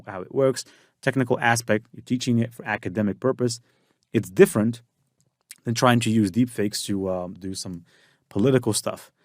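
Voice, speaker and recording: A man with a fairly low voice walks through a software tutorial in a loose, casual way. He often uses fillers and starts sentences over. His voice is dry and close to the mic. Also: no room sound. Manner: casual explaining with frequent fillers and restarts. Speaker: tutorial instructor.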